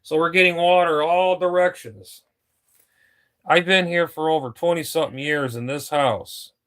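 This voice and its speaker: A man's voice: a little high, fairly even intonation, moderate pace, a bit bright. A middle-aged man chatting casually on a live stream from his home radio room, rambling and complaining and often repeating himself.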